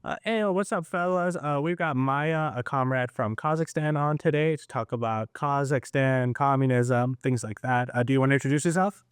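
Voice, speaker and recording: A man's voice: medium pitch, moderate pace, few pauses, clean tone, no room sound. A man hosting a podcast, asking questions in a laid-back, joking way. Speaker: podcast host. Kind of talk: casual interview chat.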